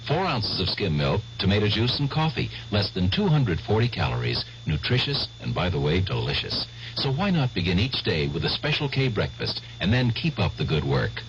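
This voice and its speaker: A man reading a radio advertisement in a friendly, persuasive way. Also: low voice. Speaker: ad announcer. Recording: dull old radio ad with hiss.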